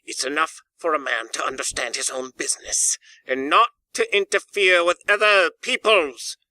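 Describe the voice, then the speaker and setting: A man performs a miserly old businessman from a storybook, cold and scornful. His delivery is curt and sneering, with a bright, forceful edge in a very dry recording. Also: a little high, normal speed, no room sound, pitched above the performer's own voice, poor audio. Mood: cold dismissive. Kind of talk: audiobook character dialogue.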